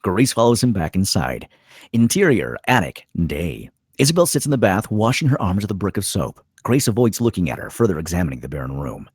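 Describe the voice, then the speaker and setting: A man narrates screenplay directions in a low, husky voice. His pitch moves around a great deal as he sets each scene, and the sound is dry and close. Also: sing-song, brisk, darkish tone, no echo. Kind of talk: scripted narration of a screenplay table read.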